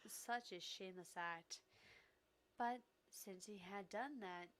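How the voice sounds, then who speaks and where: Stern investigator, voiced by a woman for a visual novel: mid-pitched, formal, accusatory, pressing. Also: slow pace, a bit bright. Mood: indignant.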